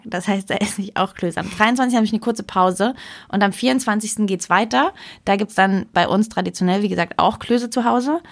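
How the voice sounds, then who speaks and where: A young woman co-hosting a podcast, chatting casually in an animated, emphatic, rambling way. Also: medium pitch, vocal fry. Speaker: podcast co-host.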